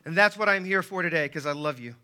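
A man preaching a sermon as a pastor, loud and emphatic, with deliberate phrasing and rhetorical repetition. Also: on the high side, clean tone. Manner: impassioned, emphatic, yelling.

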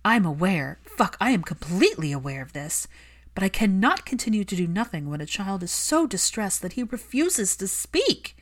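Woman acting fiercely impassioned, outspoken woman, high-pitched. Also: very animated, moderate pace. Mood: distressed, frustrated. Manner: emphatic, impassioned, argumentative. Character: fiercely outspoken, emotional woman, indignant caregiver of a child.

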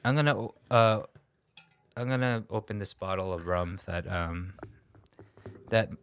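A man's voice: on the low side, unhurried, fairly bright tone, slight background noise. A man hosting a podcast in casual conversation. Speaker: podcast host. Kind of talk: casual chat.

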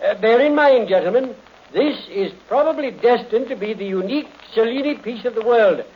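An actor playing a formal, orderly auctioneer calling out to gentlemen bidders, in 1940s radio drama style. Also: male voice, very high-pitched, lively intonation, moderate pace. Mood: formal, businesslike. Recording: muffled old radio recording, heavy hiss.

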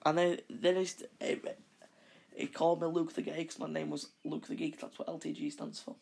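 A man hosting a casual podcast who rambles through his monologue. His voice is croaky, and he keeps correcting himself. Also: a little high, level tone, moderate pace, darkish tone. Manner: rambling, with frequent self-corrections. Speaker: podcast host.

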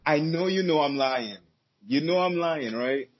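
A man's voice: fairly high pitch, a little slow, slightly rough, no room sound. A man talking casually as a podcast guest, easygoing and rambling, with fillers and restarts. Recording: dull lo-fi sound.

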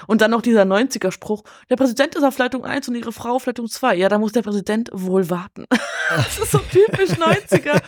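A woman's voice: fairly high pitch, animated, fairly quick, no echo, clean studio sound. A woman speaking in a casual film discussion, retelling things colloquially and acting out reactions. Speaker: podcast guest discussing a film.

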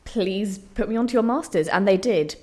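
A woman's voice: medium pitch, moderate pace, darkish tone. A young woman giving reflective interview answers in a hesitant, self-deprecating, thoughtful way. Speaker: playwright being interviewed.

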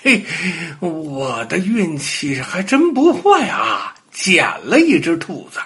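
A man's voice: sits high, big pitch swings, takes it slowly, a bit bright, voice pushed up, heavily acted. A man voicing a cocky, bragging little dog in a children's fairy tale. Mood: gleeful. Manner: swaggering, bragging.

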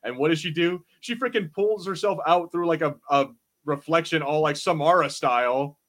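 A man co-hosting a podcast in casual review banter, telling things in slangy, animated fashion and acting out quotes. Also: a little high, moderate pace. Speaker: podcast co-host.

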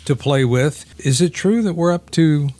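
A man's voice: fairly high pitch, animated, moderate pace, no room sound. A man co-hosting a podcast, chatting casually about music and guessing song titles. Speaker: podcast co-host and quiz contestant. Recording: loud music behind the voice.

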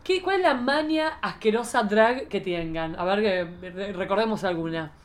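A woman's voice: medium pitch, expressive, normal speed. An Argentine woman hosting a casual chat in a playful, slangy, joking manner. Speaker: host.

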